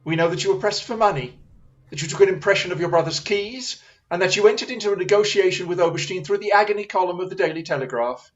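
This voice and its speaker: An actor playing a shrewd, commanding Victorian English detective in a radio play, incisive, formal and coolly relentless. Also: male voice, sits high, fast, lo-fi sound, close to an everyday voice. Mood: accusing.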